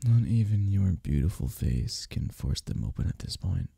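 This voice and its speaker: Man acting as drowsy, cuddly husband, dark low voice. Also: animated, creaky, slightly rough, no echo, studio quality, heavily acted. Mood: sleepy, tender. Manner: soft, drowsy, teasing, unhurried. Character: sleepy, cuddly, playfully affectionate husband in bed. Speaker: ASMR roleplay performer. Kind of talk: intimate ASMR boyfriend-style roleplay. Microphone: close, dry microphone.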